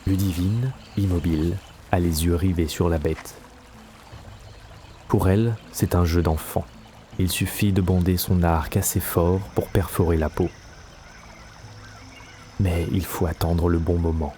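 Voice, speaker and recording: Deep, mellow male storyteller. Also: fairly even intonation, a little slow, long pauses, slight background noise. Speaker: narrator. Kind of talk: scripted fantasy story narration. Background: faint music.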